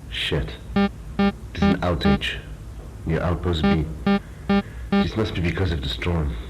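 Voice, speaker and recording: A man plays a gruff park guard in found-footage horror. His voice is very low, with synth music clearly heard behind it. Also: wildly swooping pitch, takes it slowly, exaggerated voice, low-quality recording. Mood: tense, resigned. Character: gruff male park guard on storm night.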